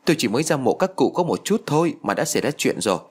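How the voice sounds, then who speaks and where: A man's voice: fairly low pitch, sing-song, fast talker, husky. A man voicing a hot-tempered, foul-mouthed old village wife who scolds and laments with raw emotion in an audio story. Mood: distraught, pleading.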